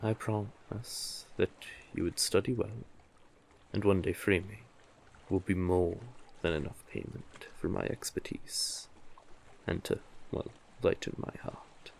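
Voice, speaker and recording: A man acting an older male sea witch, very slow delivery, deep voice, doting, coaxing, intimate fantasy audio drama. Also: fairly even intonation, a little mellow, exaggerated voice. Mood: tender, coaxing. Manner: soothing, coaxing, doting, deliberate. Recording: clearly noisy, sea-cave ambience effects.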